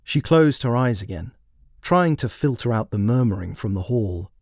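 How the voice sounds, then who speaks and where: Male storyteller, lively varied pitch, scripted fiction narration, close dry recording. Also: moderate pace, creaky, dry recording. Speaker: author and narrator.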